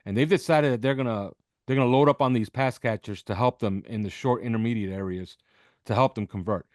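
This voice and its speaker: A man's voice: mid-range voice, a little fast, darkish tone, no room sound, studio quality. A man speaking off the cuff as a football analyst on a podcast, making emphatic points and checking in with short questions. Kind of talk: unscripted sports analysis.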